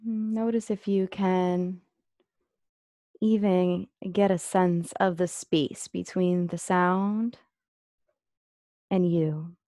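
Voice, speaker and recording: A woman leading a guided meditation as an instructor, speaking gently and unhurriedly with long pauses. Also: on the low side, fairly even intonation, no echo, clean studio sound.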